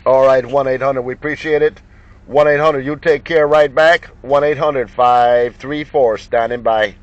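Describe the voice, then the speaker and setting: A man's voice: medium pitch, fairly even intonation, moderate pace, dry recording. A man making CB radio contacts, repeating names and call signs in chatty operator patter. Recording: dull, muffled lo-fi sound.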